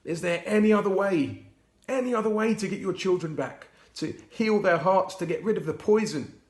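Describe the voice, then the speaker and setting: A man voicing Jesus in a children's storybook reading, an adult man pleading and then speaking gently. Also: sits high, moderate pace, voice pushed up, close to an everyday voice. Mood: anguished pleading. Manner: pleading, then hushed and whispering. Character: anguished, gentle adult male Jesus figure. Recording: roomy echo.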